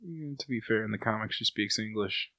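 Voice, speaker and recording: Casting: male co-host, bright edge, average pitch, very dry sound, informal banter. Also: a little fast, no echo. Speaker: podcast co-host. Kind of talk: casual chat.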